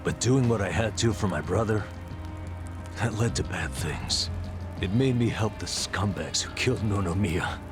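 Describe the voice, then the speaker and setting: An actor playing a bitter, world-weary, guilt-ridden man who is gruffly protective, in a blunt, cynical video game performance. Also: on the low side, lively intonation, moderate pace, over music, lightly acted. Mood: grim pleading. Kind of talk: dramatic video game voice acting.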